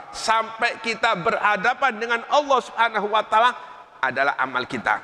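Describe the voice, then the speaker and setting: Bright-voiced male preacher in echoing hall. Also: fairly high pitch. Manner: emphatic preaching that repeats phrases and quotes Arabic sayings. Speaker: Islamic preacher. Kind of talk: religious sermon. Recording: long reverb in a hall.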